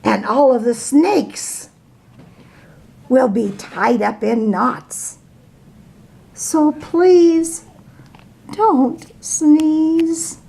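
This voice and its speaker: A woman's voice: sits high, drawn-out words, creaky, long pauses, mellow, voice pushed up, exaggerated voice. A woman voicing an alarmed zebra who exclaims in fright.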